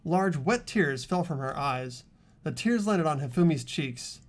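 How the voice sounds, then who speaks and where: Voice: mid-range voice, animated, a little slow. A narrator reading scripted, dramatic visual novel text as the protagonist of a game playthrough.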